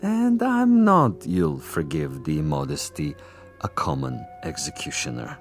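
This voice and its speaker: A cold, sadistic commissar in a radio drama, with a very low voice and slow, savoring delivery. He is pompous and gloating, a self-satisfied master of deadly games. Music plays underneath. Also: wildly swooping pitch, exaggerated voice. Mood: coolly superior. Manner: pompous, gloating, theatrically deliberate.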